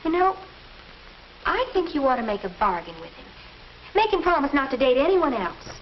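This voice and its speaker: A woman's voice: high-pitched, sing-song, moderate pace. A young American woman playing a pushy, confident teenage girl, insistent and gushing, in a 1950s educational film. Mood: confiding, pushy. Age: young adult. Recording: dull, noisy old film soundtrack.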